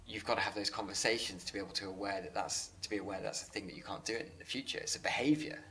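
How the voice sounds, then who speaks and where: Low voiced guy in an interview, bit gravelly, earnest, stops and starts a lot. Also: fairly even intonation, very fast, a bit bright. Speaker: interviewer and business leader. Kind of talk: conversational interview.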